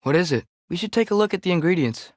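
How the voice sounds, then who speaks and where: A man's voice: high-pitched, lively intonation, fast, dry recording, studio quality. Earnest teenage boy, game companion. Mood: curious. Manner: earnest, youthful, curious. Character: eager, earnest teenage boy fighting beside his father. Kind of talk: video game companion voice lines.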